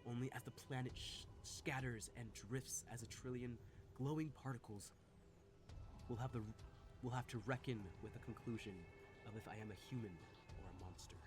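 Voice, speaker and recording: A man's voice: medium pitch, fairly even intonation, normal speed, over music, lightly acted. A man voicing a tormented, intense hero in a visual novel, with a grave, theatrical delivery. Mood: bitter resolve. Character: tormented male hero turned monstrous, brooding and intense. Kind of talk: dramatic visual novel voice acting.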